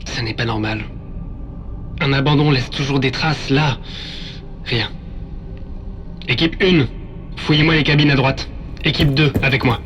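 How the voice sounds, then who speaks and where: A man's voice: medium pitch, a little slow, pauses often, a bit bright, muffled recording, lightly acted. A man acting a proud, zealous warrior son of an admiral in a science-fiction audio drama, intense and determined in military speech. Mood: tense, wary.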